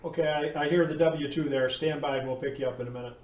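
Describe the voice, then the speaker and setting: A man's voice: on the high side, steady tone, fast talker, darkish tone. Man on ham radio, roomy distant sound. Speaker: amateur radio operator. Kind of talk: casual ham radio chat. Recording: roomy, distant mic with faint noise.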